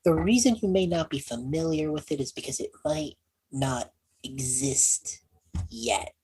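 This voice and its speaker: A woman playing an awkward, sheepish young male mage student lost in time, husky and halting, in a tabletop game. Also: deep voice, mellow, heavily acted. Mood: awkward explaining. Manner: halting, evasive, rambling.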